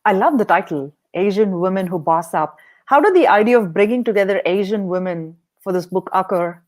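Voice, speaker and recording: A woman's voice: medium pitch, expressive, brisk, darkish tone. A woman hosting a leadership podcast interview, upbeat and encouraging as she asks questions. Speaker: podcast host.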